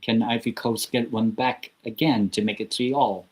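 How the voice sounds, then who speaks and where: A man's voice: medium pitch, steady tone, moderate pace. A man hosting a live football watchalong, giving casual, rambling commentary with chatty asides from a small room at home. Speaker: watchalong host.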